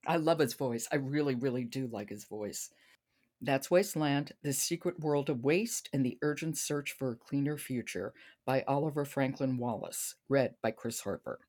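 An American woman in middle age with a low-pitched voice hosts a book podcast. She talks conversationally, as one does with a regular colleague. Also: normal speed, darkish tone. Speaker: podcast host.

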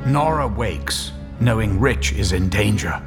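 A man's voice: on the low side, lively intonation, a little slow, over music. A man narrating an audio drama in a scripted, dramatic storytelling style.

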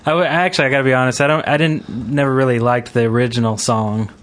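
A man hosting a podcast in casual panel chat, speaking in a hesitant, halting way. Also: medium pitch, steady tone, slight background noise. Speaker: podcast host.

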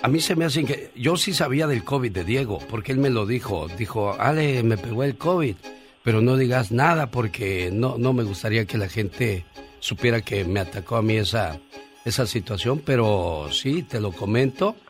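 A man's voice: mid-range voice, animated, normal speed. A man hosting a radio show in a casual, informal chat, telling things as anecdotes. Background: music bed.

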